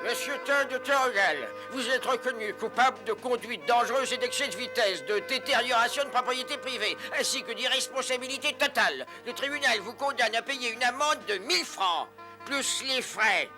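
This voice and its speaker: A man voicing a stern, pompous judge in a French cartoon dub, formal and drawn-out in his speech. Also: extremely high pitch. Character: stern, pompous male judge passing sentence. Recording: music behind the voice.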